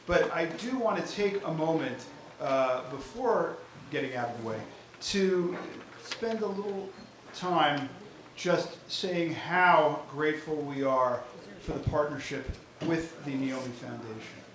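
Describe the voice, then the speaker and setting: Wry professor in a very echoey hall. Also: male voice, on the high side, expressive, unhurried, few pauses, fairly bright tone, a little hiss or hum. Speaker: professor introducing the speaker. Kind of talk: informal introduction at a lecture. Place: university lecture hall.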